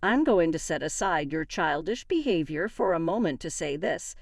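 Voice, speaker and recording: Woman acting an indignant wronged wife, lively intonation. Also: low-pitched, moderate pace, gravelly, dry recording, studio quality, full character voice. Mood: hurt, reasoning. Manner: argumentative, emphatic. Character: wronged, indignant young wife confronting her in-laws. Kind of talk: acted dialogue in a narrated story.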